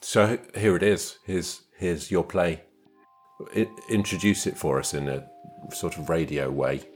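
A man with a very low voice hosts a podcast. He chats casually and interviews in a dry, joking way, sometimes hesitating. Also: unhurried, soft background music. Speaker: podcast host.

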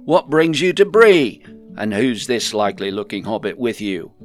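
A man voicing a loud, hearty male shopkeeper in a fantasy storybook reading. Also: mid-range voice, moderate pace, faint music behind, pitched above the performer's own voice, natural voice. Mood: hearty greeting. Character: gruff, booming male shopkeeper of stews and sauces.

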